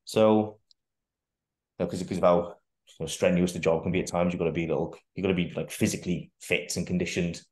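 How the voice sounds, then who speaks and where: A man's voice: low-pitched, steady tone, fast, darkish tone. A young adult man, a guest fitness coach, talking casually on a video call, rambling with restarts and the odd swear word. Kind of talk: casual interview chat. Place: bare room at home, indoors. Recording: echoey room.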